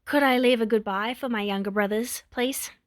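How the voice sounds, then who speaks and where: A woman's voice: fairly high pitch, moderate pace, no echo, studio quality, lightly acted. A woman voicing a composed young woman held hostage, a protective big sister, polite but firm with emotion held back. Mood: quiet pleading. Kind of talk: dramatic fan fiction reading.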